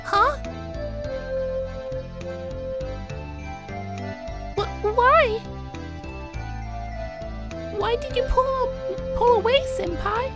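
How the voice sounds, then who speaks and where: A woman voicing a clingy, lovestruck, girlish schoolgirl from a visual novel in a squeaky falsetto, gushing and pleading. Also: slow, music in the background, exaggerated voice. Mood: hurt, pleading. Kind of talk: visual novel character read aloud.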